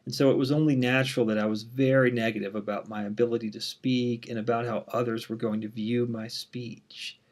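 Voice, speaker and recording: A university instructor, a man in his thirties, with a voice of ordinary middle pitch. He talks casually and candidly, often pausing on "um", and now and then catches briefly on a word. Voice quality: mild stutter. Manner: relaxed, frequent "um" fillers, candid. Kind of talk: casual course introduction.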